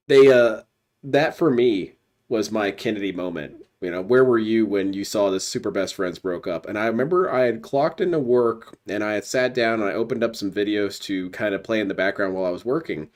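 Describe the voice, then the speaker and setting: A man giving casual, sardonic commentary as a streamer, heckling what he watches. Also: on the low side. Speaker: streamer reacting to another stream.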